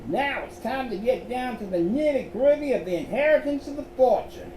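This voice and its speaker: A man's voice: very high voice, expressive, normal speed, darkish tone, voice pushed up. A man voicing an eccentric old male colonel from the American South, speaking from a phonograph record in a drawling, theatrical way with a Southern accent. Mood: jovial. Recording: echoey room, faint noise.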